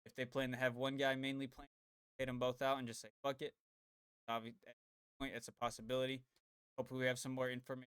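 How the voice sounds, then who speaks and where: A man's voice: mid-range voice, flat delivery, fast talker, long pauses, fairly bright tone, smooth, no echo, studio quality. A man co-hosting a casual podcast, rambling and laid-back, swearing freely. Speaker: podcast co-host.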